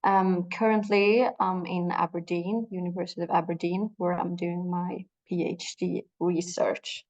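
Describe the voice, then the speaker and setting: Low, creaky young woman. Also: level tone, unhurried, hardly any pauses, darkish tone, highs cut off. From northern Sweden. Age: young adult. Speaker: panelist, PhD researcher. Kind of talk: self-introduction at an online panel. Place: small indoor room, video call.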